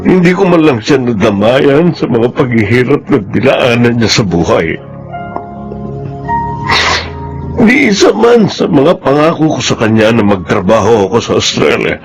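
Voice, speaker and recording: A man's voice: mid-range voice, poor audio. A man playing a bitter, remorseful, emotional husband and son in a Filipino radio drama, with a Filipino accent. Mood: remorseful, heavy. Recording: music behind the voice.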